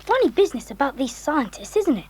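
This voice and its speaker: An actress playing a sensible, earnest schoolboy who leads young adventurers in an audio drama. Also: female voice, high voice, very animated, quick pace, darkish tone, a little hiss or hum, rough recording. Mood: thoughtful. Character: sensible, optimistic boy leader of child adventurers.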